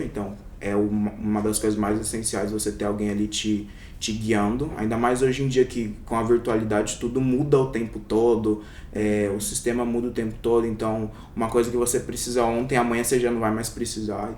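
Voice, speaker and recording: Young adult man from Brazil, interview guest; hesitant and reflective, mid pitch, a bit distant from the mic in a roomy space. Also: little pitch movement, fairly quick, darkish tone. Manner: reflective, many hesitations and fillers. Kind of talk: casual interview. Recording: echoey room, somewhat off-mic, faint rumble.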